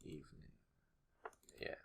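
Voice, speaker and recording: A man narrating a casual tutorial, hesitant and unrehearsed, thinking aloud as he works. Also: slow pace. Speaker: animator, video creator.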